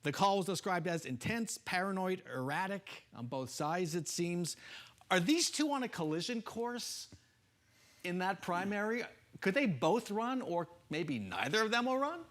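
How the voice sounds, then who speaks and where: A man's voice: high voice, sing-song, normal speed, fairly bright tone. An American man hosting a broadcast political panel, putting pointed, probing questions to guests. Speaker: TV political show host.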